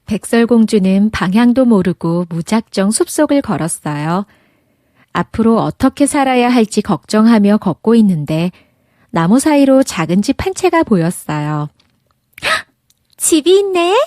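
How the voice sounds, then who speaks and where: A woman's voice: mid-range voice, expressive, normal speed, warm tone, no echo, studio quality. A woman narrating a children's fairy tale, reading aloud expressively as a storybook narrator.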